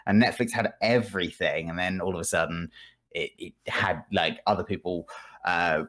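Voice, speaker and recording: A man's voice: deep voice, steady tone, moderate pace, fairly bright tone. A British man hosting a casual gaming podcast, rambling and thinking aloud with a wry manner.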